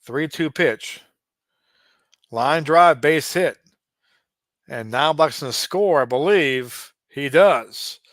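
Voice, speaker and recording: A man in his late forties doing casual play-by-play of a simulated baseball game, relaxed and chatty with frequent asides. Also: a little high, takes it slowly, long pauses, no room sound, clean studio sound. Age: 40s. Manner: relaxed, chatty, given to asides and small restarts.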